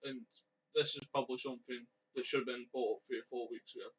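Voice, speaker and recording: A man's voice: mid-range voice, level tone, fast talker, breathy edge. A man co-hosting a casual wrestling chat, asking questions and giggling often. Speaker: podcast co-host. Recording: dull lo-fi audio.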